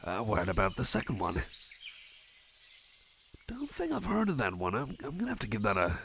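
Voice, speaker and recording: A man's voice: fairly low pitch, huge pitch swings, brisk, a bit bright, a little hiss or hum, exaggerated voice. A man playing a shy, friendly cyborg chum in an ASMR roleplay, talking in a hesitant, stammering, rambling way. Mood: casual, rambling. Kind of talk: personal attention ASMR roleplay.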